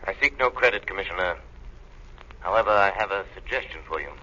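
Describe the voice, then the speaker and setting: An actor playing a mysterious invisible avenger, knowing and commanding, with formal, taunting diction in a 1930s radio crime drama. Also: male voice, low-pitched, fast talker, pushed and bright, highs cut off, full character voice. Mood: coolly sardonic. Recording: band-limited vintage 1930s radio recording.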